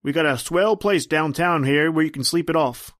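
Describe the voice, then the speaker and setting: A man's voice: fairly high pitch, normal speed, a bit bright, dry recording, clean studio sound, close to an everyday voice. A man playing a brusque, skeptical street cop with patronizing slang in an old-time radio drama. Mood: skeptical, dismissive.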